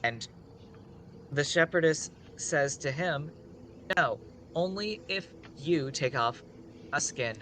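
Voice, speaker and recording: A man's voice: a little high, animated, slow, pauses often, a bit bright, slight background noise, low-quality recording. A man telling a story casually on a live stream, rambling with conversational asides.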